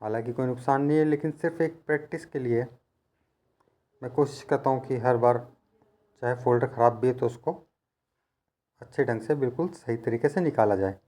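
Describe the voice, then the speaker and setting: A man's voice: mid-range voice, brisk, pauses often, mellow. A man giving hands-on instructional narration as a repair technician, explaining things in a patient, practical, conversational way.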